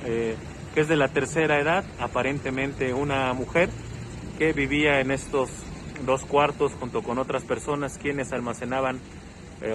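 A man giving a steady, factual live news report on location outdoors, with a few fillers. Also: medium pitch. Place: outdoors on a city street. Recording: noisy outdoor street recording. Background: street traffic noise.

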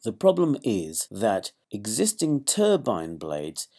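Male narrator, animated intonation, unhurried, lowish pitch, scripted report. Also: a little hiss or hum.

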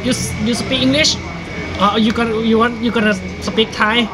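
A man who is a guest at a bar-table chat, giving brief, slow replies. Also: extremely high pitch, fairly even intonation, low-quality recording. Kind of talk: casual chat. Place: busy bar at night, indoors. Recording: loud music in a bar.

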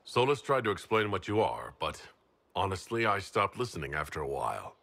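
A man voicing a calm, kindly heroic leader in a video game, with a husky voice and a measured, direct manner. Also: deep voice, exaggerated voice. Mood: gentle, curious.

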